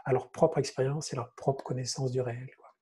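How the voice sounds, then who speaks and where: A man's voice: medium pitch, little pitch movement, fairly quick, dark tone, breathy edge. A man talking casually and reflectively as a guest writer on a panel, hesitant and repeating words as he searches for them. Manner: hesitant, groping for words with repetitions.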